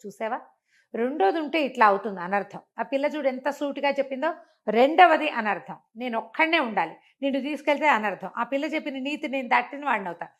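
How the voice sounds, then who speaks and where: An older woman telling a moral folk tale in an interview, acting out the characters' lines as she goes. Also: fairly high pitch. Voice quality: animated, acts out the characters' lines within the telling. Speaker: storyteller.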